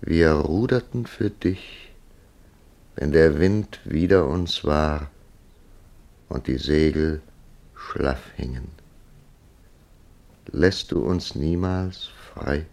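Casting: pensive author-narrator for radio play, very low register, slow deliberate delivery. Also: male voice, darkish tone, full character voice. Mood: solemn, lamenting. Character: thoughtful male writer narrating his own puzzling encounter. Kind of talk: German radio drama acting.

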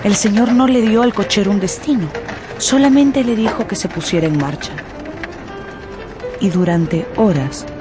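A narrator telling a radio drama story in an expressive, theatrical way with drawn-out emphasis. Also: mid-range voice.

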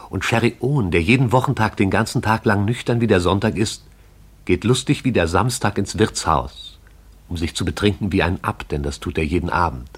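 An actor with a husky voice as the poetic, all-seeing narrator of a radio play. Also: male voice, deep voice.

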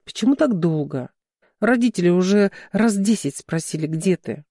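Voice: mid-range voice, animated, normal speed, warm tone, no echo, studio quality. Narrator voicing an affectionate young bride-to-be. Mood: questioning.

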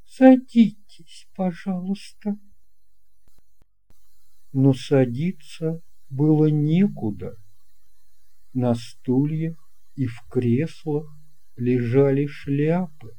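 Woman reading a kids tale, really mellow voice, takes her time. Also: a bass voice, slow pace. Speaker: narrator. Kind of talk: scripted storybook narration.

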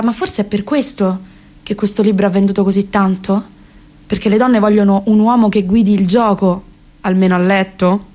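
A young actress performing a comic radio monologue as a self-mocking, exasperated woman near thirty, conversational, ironic and halting. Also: mid-range voice, darkish tone, close to an everyday voice. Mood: musing. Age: young adult.

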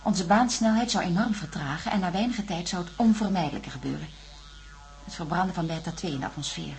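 A woman's voice: fairly low pitch, brisk, creaky, a little mellow, soft background music. An actress playing a level-headed, earnest female astronaut in a science-fiction radio drama. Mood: sober recounting. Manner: explanatory, earnest. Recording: radio drama with faint hum.

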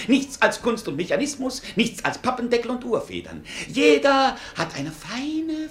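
A man's voice: high-pitched, pushed and bright, exaggerated voice. An actor playing a glib, mocking court fool in a radio play, declaiming wordy mock-ceremonious patter like a showman. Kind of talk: theatrical radio play acting.

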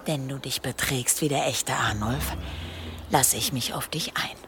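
A woman's voice: very deep voice, a little slow, noisy background. A woman playing a defiant, determined village woman in a radio drama, firm and challenging.

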